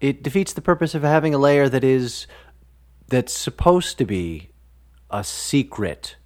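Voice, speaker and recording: Dry low-key weary businessman, subdued voice, lively pitch, played by a narrator. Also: unhurried. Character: large weary male businessman, dry and reluctant.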